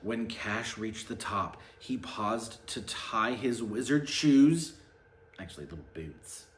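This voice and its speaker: A man reading a storybook aloud with a theatrical, deliberate delivery. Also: on the low side, lively intonation. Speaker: storybook reader. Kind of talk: animated read-aloud storytelling. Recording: echoey room, faint background noise.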